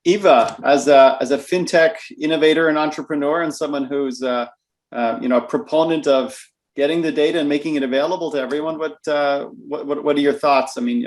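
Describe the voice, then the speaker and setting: Male webinar moderator, halting delivery, ordinary mid-range voice. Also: mid-range voice, steady tone. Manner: halting, hesitant question phrasing. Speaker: panel moderator.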